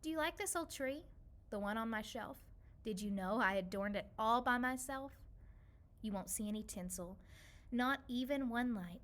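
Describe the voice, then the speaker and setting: A kindly, lonely old woman from a children's storybook, played by a woman reader. The delivery is gentle, soothing and softer, with a bright, clear edge. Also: on the high side, animated, normal speed. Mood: gentle, nostalgic. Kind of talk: children's storybook read-aloud.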